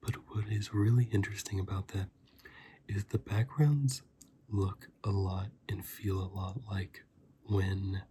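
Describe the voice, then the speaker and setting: A man speaks in a soft, breathy ASMR style, often slipping into a whisper. His delivery is even and very unhurried, with a dark, relaxed tone. He rambles casually like a reviewer chatting to viewers. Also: lowish voice, slight background noise. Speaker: host of an ASMR review channel.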